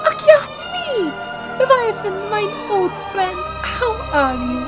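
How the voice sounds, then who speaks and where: A woman's voice: a little high, sing-song, measured pace, loud music behind the voice. A woman voicing a female ocean liner who speaks with a thick German accent and mixes German words into her speech. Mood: delighted.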